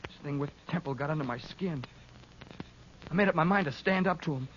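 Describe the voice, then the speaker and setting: Bitter, higher-voiced ranch man acted by a man. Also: close to an everyday voice. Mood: aggrieved. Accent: American. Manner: halting, aggrieved. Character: bitter, put-upon rancher and ex-convict, stubborn. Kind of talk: 1950s radio drama acting. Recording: muffled 1950s radio recording, faint noise.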